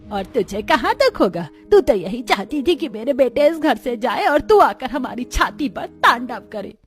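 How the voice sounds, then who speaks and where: Woman doing a nasty bossy saas in a cartoon, high voice, always yelling curses. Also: sing-song, normal speed. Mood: taunting. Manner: scolding, cursing. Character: harsh domineering older mother-in-law. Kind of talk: Hindi cartoon voice acting.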